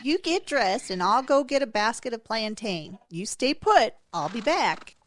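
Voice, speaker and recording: A woman's voice: fairly high pitch, very animated, measured pace, slight background noise. A woman playing a kindly, apologetic older woman who fusses over a patient in an audio drama. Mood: kindly, fussing. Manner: caring, fussing.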